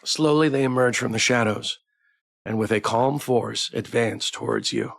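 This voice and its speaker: A man's voice: mid-range voice, moderate pace, vocal fry, a bit bright. A man hosting a live game stream, reading story text aloud and chatting playfully with viewers. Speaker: livestreamer, game playthrough host. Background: background music.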